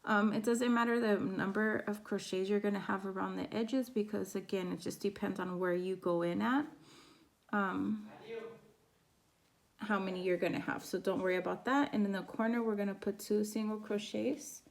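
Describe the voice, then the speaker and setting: Raspy woman counting crochet stitches. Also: mid-range voice, steady tone. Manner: counts stitches aloud, soft and unhurried. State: sore throat. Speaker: crochet instructor. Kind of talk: step-by-step craft tutorial.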